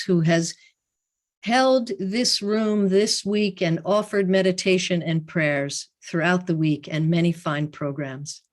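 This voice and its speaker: A woman hosting an online gathering, welcoming guests with slow, gracious, affectionate phrasing. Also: on the low side. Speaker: host. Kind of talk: warm formal welcome on video call. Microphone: video call.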